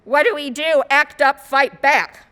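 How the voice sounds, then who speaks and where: A woman minister giving a sermon in measured, rhetorical short phrases. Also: sits high, a bit bright. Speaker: guest minister.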